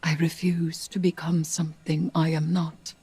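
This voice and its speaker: A woman playing a grave, haunted mystic of dark magic in a video game, husky and breathy, with solemn, deliberate phrasing. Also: sits low, little pitch movement. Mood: brooding, pained. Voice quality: husky, breathy, often near a whisper. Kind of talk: dramatic video game monologue.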